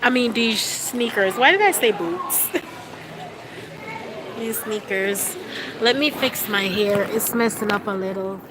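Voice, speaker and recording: A young woman with a stuffy, cold-clogged voice chats loosely into a phone she holds at arm's length. She fills her talk with lots of ums and easy asides. Clear background noise runs under the recording. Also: medium pitch, slow pace, pauses often. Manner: chatty, relaxed, with frequent fillers and laughs. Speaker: vlogger livestreaming to fans. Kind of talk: casual live chat. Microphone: phone held at arm's length.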